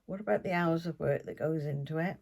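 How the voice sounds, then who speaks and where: A woman's voice: sits low, creaky, darkish tone. An older woman with a South Welsh accent, hosting a podcast in a casual, meandering, homely chat. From South Wales. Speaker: podcast host.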